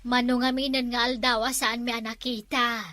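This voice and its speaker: Bright chatty high-voiced woman. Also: level tone, moderate pace. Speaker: comedy drama actor. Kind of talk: comic village drama.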